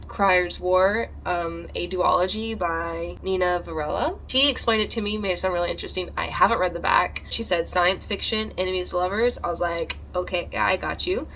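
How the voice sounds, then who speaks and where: A woman's voice: on the low side, fairly bright tone, a little hiss or hum. A woman vlogging casually about books, chatty and rambling, with a congested, slightly nasal voice. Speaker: book vlogger. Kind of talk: casual vlog chat.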